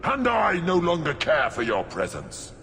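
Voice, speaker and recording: An actor playing a menacing, possessive demon in a video game, speaking slowly and imperiously with threat. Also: male voice, on the high side, huge pitch swings, pushed and bright, full character voice. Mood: possessive menace. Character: menacing, possessive ancient demon bargaining for treasure. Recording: long reverb with music underneath.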